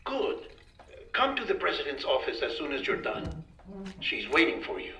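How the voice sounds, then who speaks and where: An actor playing an awkward, businesslike colleague calling over an intercom in a video game, hesitant and official in manner. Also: male voice, on the high side, wildly swooping pitch, normal speed, noisy background. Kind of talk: video game cutscene acting. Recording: distant, echoey, loud running shower water.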